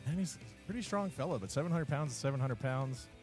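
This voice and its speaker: A man's voice: mid-range voice, lively intonation, fast talker. A man giving easygoing, chatty colour commentary at an outdoor strongman event. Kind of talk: live color commentary. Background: guitar music.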